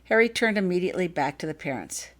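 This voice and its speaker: A woman's voice: lowish voice, animated, fast. A woman reading scripted audiobook fiction as the author of the story.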